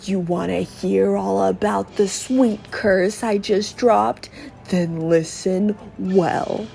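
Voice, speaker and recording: A woman voicing a gleeful, evil cursed creature from a video game, taunting and gloating with drawn-out cackles. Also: mid-range voice, fairly even intonation, creaky, dark tone, soft background music. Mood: sinister, gleeful. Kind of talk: dramatic video game dialogue reading.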